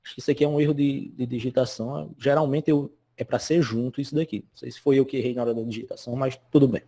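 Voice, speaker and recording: A man's voice: medium pitch, steady tone, quick pace, warm tone. A male teacher from Northeast Brazil, explaining a lesson from slides in a chatty way and checking in with his students all the time. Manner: chatty, constantly checks in with "né?" and "certo?".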